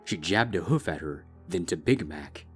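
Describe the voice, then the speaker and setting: A man narrating a dark fan fiction story in a steady, measured way. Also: sits low, slightly rough, soft background music. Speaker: fanfic reader. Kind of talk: scripted narration.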